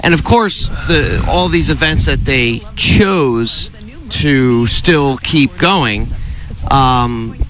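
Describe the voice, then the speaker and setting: A man co-hosting a fishing radio show, talking in a rambling, halting way. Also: medium pitch, fairly bright tone. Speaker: radio co-host and kayak tournament organizer. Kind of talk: casual radio chat.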